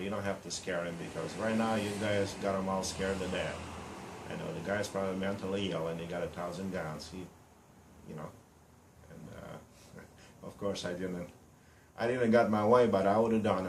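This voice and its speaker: A man in his fifties from Romania with a Romanian accent, introducing himself bluntly and boastfully in broken English with many pauses and fillers. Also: low-pitched, steady tone, reverberant, a little hiss or hum. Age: 50s. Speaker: reality show applicant. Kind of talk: casual self-introduction to camera.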